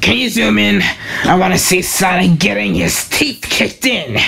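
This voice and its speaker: A woman voicing a pompous, gloating male mad scientist with a creaky voice in an amateur comic dub. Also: mid-range voice, unhurried, a bit bright. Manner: pompous, commanding, taunting.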